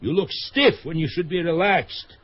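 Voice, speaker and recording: A stern, patriotic Russian father in a radio play. His voice runs a little high and rises and falls dramatically, with emphatic, formal phrasing. The sound is muffled, lo-fi radio. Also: expressive, moderate pace. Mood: indignant, critical. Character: stern, lonely Russian father and skating coach, fervently patriotic.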